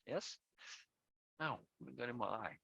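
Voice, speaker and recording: A man leading a casual chat over a video call on a close boom mic, explaining his point at length and often checking "right?" or "yes?". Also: animated, measured pace, gravelly, slightly rough, dry recording, muffled recording. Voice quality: loud. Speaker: discussion leader.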